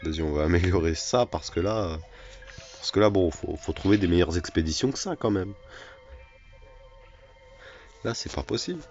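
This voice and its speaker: A man's voice: deep voice, lively intonation, fairly quick, darkish tone, highs cut off, faint music behind. A man with a cold giving chatty, self-mocking commentary over a survival video game, often repeating his phrases. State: has a cold. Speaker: gaming YouTuber. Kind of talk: casual let's play commentary.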